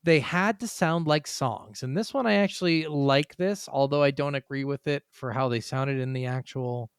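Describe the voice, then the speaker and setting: A man's voice: mid-range voice, normal speed, clean tone, dry recording, clean studio sound. A man co-hosting a podcast in casual chat, working through his notes with rambling, sometimes profane asides. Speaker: podcast co-host.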